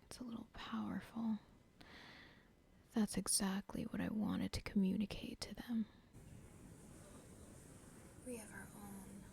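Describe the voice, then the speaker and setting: A woman's voice: mid-range voice, gravelly, warm tone, breathy edge, heavily acted. A woman whispering an ASMR roleplay as a cold, controlling female cartel boss who talks in a menacing, dismissive way. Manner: whispering throughout.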